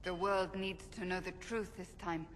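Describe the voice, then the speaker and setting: A stern, devout warrior woman played by an actress in a fantasy game. She speaks formally and earnestly in a lowish voice, with long reverb and faint noise around it. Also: steady tone, normal speed, close to an everyday voice. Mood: solemn, worried. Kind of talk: video game dramatic acting.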